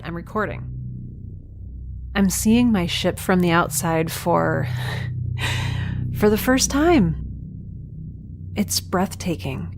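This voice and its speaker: A woman's voice: on the low side, a little hiss or hum. A woman with a wry, sarcastic way of talking, hosting and voicing her own audio drama. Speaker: podcast creator and lead voice actor. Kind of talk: audio drama acting and sponsor read.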